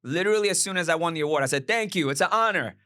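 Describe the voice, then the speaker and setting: Reflective man telling a measured story. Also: a little high, animated, pushed and bright, no echo, studio quality. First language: Cantonese. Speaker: podcast guest. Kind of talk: casual podcast conversation.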